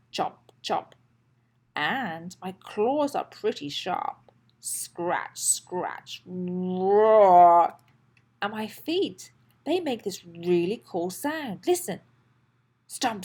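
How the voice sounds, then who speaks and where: A woman voicing an excitable, anxious and boastful toy dinosaur in a children's storybook, with a childlike, dramatic delivery. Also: medium pitch, slightly rough, heavily acted. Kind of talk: children's storybook read-aloud.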